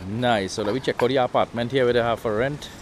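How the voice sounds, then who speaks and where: A man's voice: medium pitch, quick pace. Trinidadian man with surf noise behind. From Trinidad and Tobago. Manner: relaxed, chatty, Creole phrasing. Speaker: vlogger. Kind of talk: casual outdoor vlog narration. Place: outdoors by the sea, windy. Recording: wind and surf noise on a camera mic.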